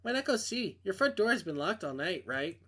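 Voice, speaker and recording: A man's voice: high-pitched, big pitch swings, fairly quick, pushed and bright, pitched above the performer's own voice, heavily acted. A man voicing a falsely reassuring woman friend in a visual novel, soothing, insistent and downplaying. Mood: casually coaxing.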